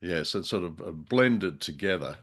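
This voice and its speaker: A man's voice: deep voice, lively intonation, normal speed, a bit bright. A man co-hosting a podcast, chatting casually in a droll, wordy, hesitant way. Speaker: podcast co-host. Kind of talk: casual chat, radio drama discussion.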